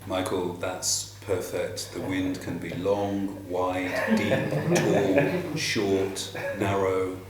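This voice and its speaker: A man moderating a panel as facilitator, relaxed and playful. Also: low-pitched, drawn-out words, few pauses. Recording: echoey room, mic far off, clear noise.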